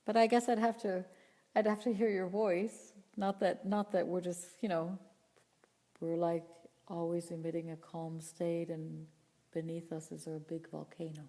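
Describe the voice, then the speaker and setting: A woman voice teacher answering viewer questions live, talking in an unhurried, earnest way and often repeating or restarting her phrases. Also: on the low side, level tone, mellow, in a large hall. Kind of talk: live Q&A talk, reading chat questions.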